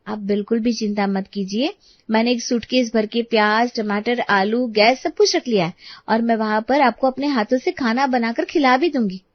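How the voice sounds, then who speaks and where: Woman acting an insistent, high-voiced young daughter-in-law. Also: moderate pace, no room sound, muffled recording, close to an everyday voice. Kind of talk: animated moral story dialogue.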